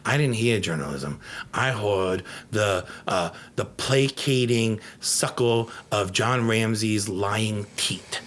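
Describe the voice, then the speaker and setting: A man's voice: lowish voice, takes it slowly, fairly bright tone. A man co-hosting a comedy podcast, bantering in a crude, rambling, mock-grandiose way and repeating himself. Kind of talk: unscripted comic banter.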